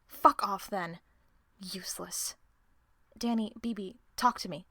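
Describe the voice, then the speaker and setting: A woman acting in an audio drama as a sharp-tongued, distrustful team member who swears and confronts people. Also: mid-range voice, unhurried, no echo, studio quality. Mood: curt, demanding. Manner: profane, confrontational, sarcastic. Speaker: voice actor in an audio roleplay.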